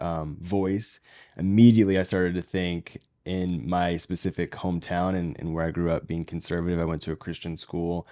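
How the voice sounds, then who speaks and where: A man from the American South with a light Southern accent, co-hosting a podcast and talking in a relaxed, reflective way that often trails off mid-sentence. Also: low-pitched. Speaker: podcast co-host. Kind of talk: casual conversation.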